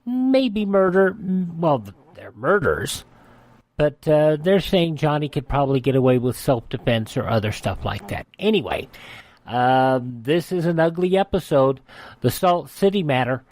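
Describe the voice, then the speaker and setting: A man's voice: a little high, very animated, a little slow, a little mellow, no room sound. A man hosting a classic radio show, talking informally and conversationally.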